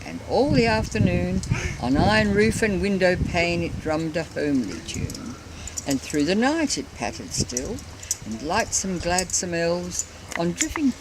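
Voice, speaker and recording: A woman's voice: fairly low pitch, noisy background. An older Australian woman giving a theatrical, lilting recitation of a bush ballad to her family in an amateur recording. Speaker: family matriarch reciting a poem. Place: family Christmas gathering.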